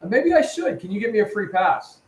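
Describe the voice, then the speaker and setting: A man's voice: high voice, sing-song, brisk, darkish tone. A man hosting a casual talk show who rambles, repeats his words and keeps checking in with "right?" and "man". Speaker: host. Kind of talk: casual chat. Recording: echoey room with faint ambience.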